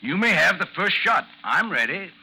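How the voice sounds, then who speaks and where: An actor in a 1950s radio drama playing a vengeful gentleman duellist, coolly mocking and formal in speech. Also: high-pitched, sing-song, moderate pace, slightly rough, full character voice. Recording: noisy 1950s radio recording, slight hiss.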